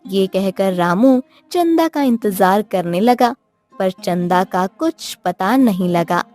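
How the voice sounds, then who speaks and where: A woman's voice: medium pitch, expressive, takes it slowly, darkish tone, faint music behind. A woman narrating a scripted children's cartoon story as storyteller.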